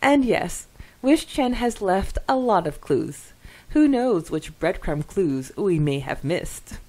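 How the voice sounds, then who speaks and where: Slow-talking, lowish-voiced woman podcast co-host. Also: sing-song, warm tone. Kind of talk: casual podcast chat, reading listener comments.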